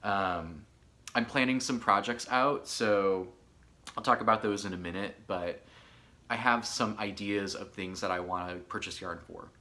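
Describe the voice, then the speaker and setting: A man in his thirties from Michigan, hosting a podcast in casual solo chat, chatty and a bit hoarse, with lots of filler words. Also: lowish voice, a little slow, bright, forceful tone. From Michigan, USA. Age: 30s. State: hoarse from allergies. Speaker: podcast host.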